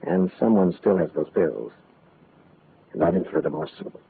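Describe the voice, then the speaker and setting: An actor playing a gruff, guarded married man in a 1940s radio drama. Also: low-pitched, big pitch swings, moderate pace, heavily acted. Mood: grudging, worried. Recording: muffled, noisy old radio recording.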